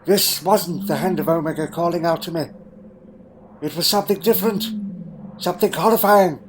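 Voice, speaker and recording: A man playing an elderly, secretive alien time traveller in a fan audio drama, telling his story gravely and deliberately with pondering hums. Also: fairly high pitch, background noise, close to an everyday voice. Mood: grave, insistent.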